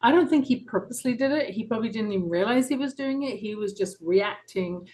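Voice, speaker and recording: A woman's voice: on the high side, lively intonation, a little fast. Middle-aged London-accented woman author, earnest and passionate, telling her own story as a guest. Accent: London English. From England. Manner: earnest, passionate, with soft laughs and frequent ums. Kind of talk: reflective personal interview.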